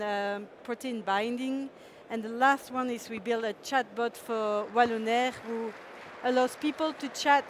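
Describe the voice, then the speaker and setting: A woman pitches her company on stage in a fairly high voice. She speaks haltingly and fills pauses with "uh" and "um". Faint traffic hums behind her. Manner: hesitant, frequent fillers, laughs easily. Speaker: company presenter. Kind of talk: startup pitch presentation.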